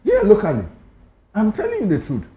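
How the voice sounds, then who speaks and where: A man's voice: high-pitched, wildly swooping pitch, a little fast, roomy sound, over a noisy crowd. A man with a Nigerian accent giving conversational, emphatic commentary as a talk show panellist, repeating phrases to drive points home. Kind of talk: conversational commentary on current affairs.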